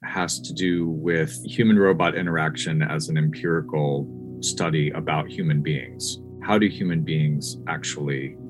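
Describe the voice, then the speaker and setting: American man, low-pitched, measured and thoughtful, researcher answering interview questions. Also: steady tone, music in the background. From United States. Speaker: academic researcher and interview guest.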